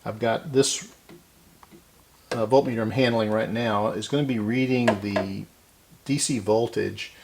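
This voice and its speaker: Low-voiced man with a gravelly edge. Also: a little hiss or hum. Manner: unscripted, talking to himself while working. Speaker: host of a vintage electronics repair channel. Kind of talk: casual hands-on repair demonstration. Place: indoor electronics workbench.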